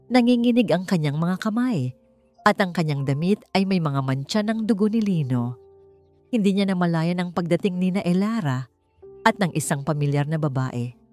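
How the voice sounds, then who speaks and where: A woman's voice: lowish voice, darkish tone. A woman reading a scripted love story as a dramatic narrator. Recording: music behind the voice. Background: background music.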